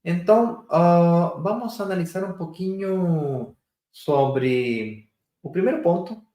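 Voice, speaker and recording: A man's voice: high-pitched, slow, warm tone, roomy sound. A man from Chile with a Chilean Spanish accent, hosting a casual talk as a real estate broker, chatty and correcting himself as he goes. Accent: Chilean Spanish accent in Portuguese. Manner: chatty, self-correcting, drifts into Spanish words. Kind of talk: casual presenting to camera.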